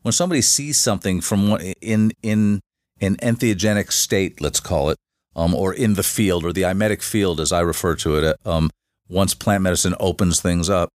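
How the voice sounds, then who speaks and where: Low-voiced guy on a podcast, keeps restarting sentences, dry close sound. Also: darkish tone, no echo. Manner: halting, many restarts and fillers. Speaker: guest, shamanic facilitator. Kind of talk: casual podcast interview.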